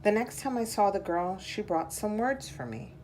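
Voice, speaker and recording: A woman reading a children's picture book aloud in a measured, deliberate storytelling style. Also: mid-range voice, very animated, a little mellow, slight background noise, low-quality recording.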